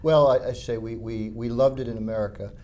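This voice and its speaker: A man's voice: mid-range voice, fairly even intonation, a little fast, warm tone. A man hosting an interview, eager and stumbling over his words as he asks questions. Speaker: interviewer and host.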